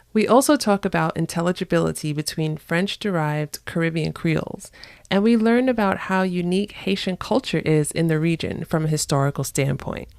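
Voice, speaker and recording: A woman hosting a language podcast, reading her intro and then chatting with a guest in an eager, excited way. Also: fairly low pitch, clear, resonant tone, no room sound. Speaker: podcast host. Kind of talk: podcast interview and scripted intro.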